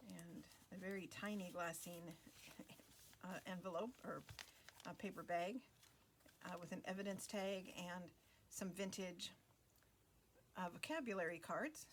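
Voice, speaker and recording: Female craft host, casual unhurried narration, slightly low pitch, lots of pauses. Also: slight background noise. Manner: relaxed, many fillers and pauses. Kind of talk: casual flip-through narration.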